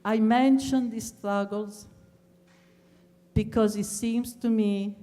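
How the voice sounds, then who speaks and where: A woman closing a lecture, earnest and measured, pausing on fillers. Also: mid-range voice, steady tone, mellow. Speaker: speaker at a talk. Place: large lecture hall. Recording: hall reverb from a distance.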